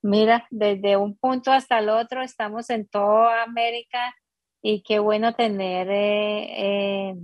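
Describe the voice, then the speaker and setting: Slow, courteous woman radio host. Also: medium pitch, fairly even intonation, no room sound, highs cut off. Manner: slow, courteous, repeating herself. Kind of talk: radio interview.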